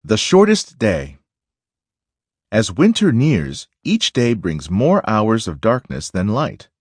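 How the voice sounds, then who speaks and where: A man reads a nonfiction picture book for children. His pitch moves up and down a great deal while the pace stays slow and deliberate. The voice is a little husky, recorded close and dry. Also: huge pitch swings, no room sound. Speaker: read-aloud narrator.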